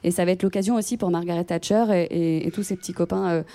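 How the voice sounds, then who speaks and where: A woman explains history hesitantly, filling her pauses with frequent hesitations. Her voice is fairly low and mellow. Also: fairly even intonation. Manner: hesitant, many fillers. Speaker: podcast co-host. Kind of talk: casual history discussion.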